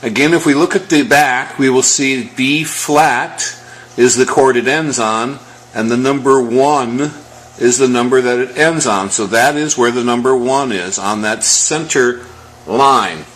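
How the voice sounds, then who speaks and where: A man giving an explanatory music lesson as a teacher, talking step by step in a measured manner. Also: medium pitch, fairly bright tone, roomy sound, low-quality recording.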